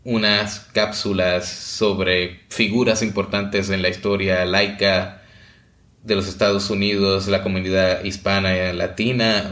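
A man co-hosting a podcast, talking casually with many pauses, fillers and restarts. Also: low voice, fairly bright tone, echoey room. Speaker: podcast co-host.

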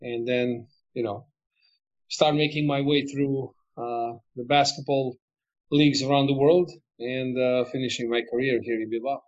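Man, forties, from Montenegro; halting delivery full of fillers, dull lo-fi call sound. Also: medium pitch, fairly even intonation. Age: 40s. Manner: halting, many fillers and restarts. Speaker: basketball club figure, former player. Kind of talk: remote interview.

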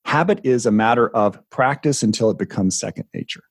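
A man's voice: medium pitch, a little fast, no room sound, clean studio sound. A man co-hosting a podcast in casual chat, reflective and thoughtful, often repeating words as he thinks. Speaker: podcast co-host, coach.

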